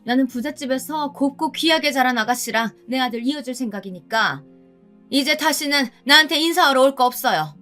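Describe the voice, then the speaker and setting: A woman's voice: high-pitched, lively intonation, fairly quick, bright, forceful tone, soft background music, pitched above the performer's own voice. A woman voicing a haughty, sneering older mother who scolds with condescension, in a dramatized story narration. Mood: cold, scolding. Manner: condescending, scolding, mixes polite and casual speech.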